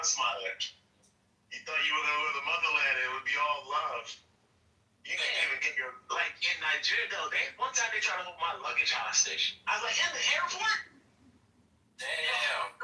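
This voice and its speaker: A laid-back man tells stories in casual chat and keeps repeating his point. His voice is fairly high and very bright, and it is recorded off the mic in an echoing room. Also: far from the mic. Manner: laid-back, repeats himself, laughs between lines. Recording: roomy echo, off the mic.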